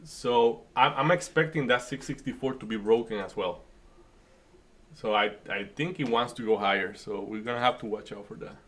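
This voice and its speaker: This is a man from the Dominican Republic who speaks with a Dominican Spanish accent, Spanish being his first language. His voice is bright and forward. He chats loosely and jokingly while calling trades, often breaking off and restarting his sentences. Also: medium pitch, moderate pace. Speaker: day trading streamer host. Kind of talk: casual live-stream chat and market commentary.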